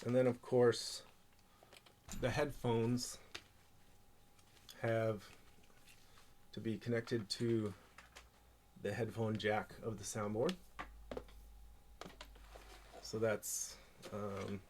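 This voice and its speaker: A man presenting an informal hands-on tech tutorial, speaking haltingly as he thinks aloud while handling gear. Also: lowish voice, level tone, a little mellow, slight background noise.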